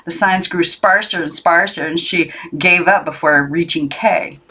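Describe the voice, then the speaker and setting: A woman reading a children's novel aloud as narrator, in an expressive storytelling style. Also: fairly low pitch, unhurried, husky. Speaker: audiobook narrator.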